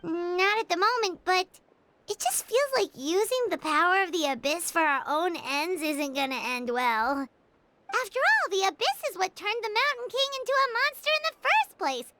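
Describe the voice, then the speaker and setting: Tiny excitable female game companion, very high and bouncy, game score behind. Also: slight background noise, heavily acted. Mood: chatty. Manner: bubbly, quick to react and tease. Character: small chatty female travel companion, excitable. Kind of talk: video game dialogue. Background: game music.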